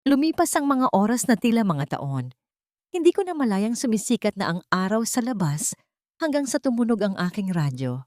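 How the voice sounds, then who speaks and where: A woman with a Filipino accent reading a dramatic first-person story in a measured, solemn and emotive way. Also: a little high, big pitch swings, darkish tone, no room sound, clean studio sound. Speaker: audiobook narrator.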